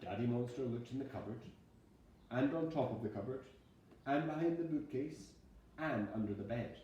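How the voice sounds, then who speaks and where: A man reading a storybook aloud like a schoolteacher, in a dramatic, deliberate storytelling manner. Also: medium pitch, expressive, mellow, highs cut off. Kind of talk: storybook narration. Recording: very echoey room, distant mic, faint noise.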